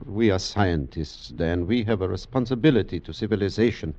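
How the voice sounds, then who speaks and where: An actor playing a grave, commanding doctor in an old radio drama, with deliberate, formal phrasing. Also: male voice, sits low, a little mellow. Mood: grave, solemn. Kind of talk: 1940s-50s radio drama acting. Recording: muffled, hissy old radio recording.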